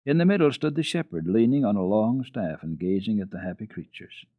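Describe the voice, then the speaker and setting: Expressive male storyteller, tinny vintage radio sound. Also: on the low side. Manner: expressive, deliberate. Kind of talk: dramatic reading of children's classic. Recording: narrow-band, echoey old radio recording.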